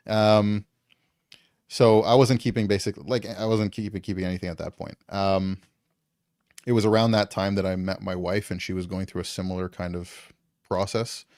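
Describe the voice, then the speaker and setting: A man's voice: low voice, flat delivery, moderate pace, a little mellow, no room sound, studio quality. A man from Canada, a guest in casual conversation, talking loosely with lots of ums and likes.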